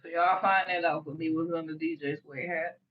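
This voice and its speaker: A woman's voice: low-pitched, steady tone, a little fast, lo-fi sound. Light-voiced woman ranting on stream. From US Midwest. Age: 30s. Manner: rambling, blunt, profane, with bursts of laughter. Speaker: livestream host. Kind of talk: late-night casual stream rant.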